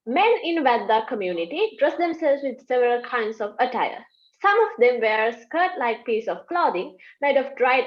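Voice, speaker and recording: Sri woman on a muffled recording. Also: a little high. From Sri Lanka. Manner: informal, with fillers like uh. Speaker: student presenter. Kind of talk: prepared presentation. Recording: muffled lo-fi audio.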